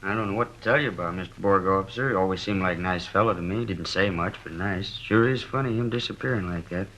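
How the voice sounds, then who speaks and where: Fast-talking folksy American grocer, low voice. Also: male voice, a bit bright. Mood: puzzled, gossipy. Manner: folksy, rambling, hedging. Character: chatty, gossipy but discreet male neighborhood grocer. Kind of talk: 1950s radio drama acting. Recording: dull old radio recording.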